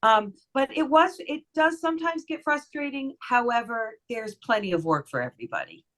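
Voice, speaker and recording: A woman's voice: lively intonation, moderate pace, fairly bright tone. Chatty smiling-voiced woman voice actress. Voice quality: lower voice for a woman. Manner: chatty, friendly, giggly, smiling tone. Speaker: voice actress guest. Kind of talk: casual podcast interview.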